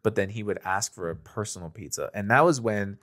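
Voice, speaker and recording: Low-voiced young American man, casual and slangy. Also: brisk, fairly bright tone. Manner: informal, anecdotal, slangy. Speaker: podcast host. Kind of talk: casual chat.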